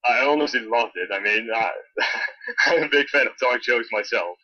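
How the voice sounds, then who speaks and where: A man co-hosting a casual review chat, giving his opinions in a halting, hesitant way. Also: mid-range voice, animated, dull audio. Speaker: co-host reviewing fan fiction.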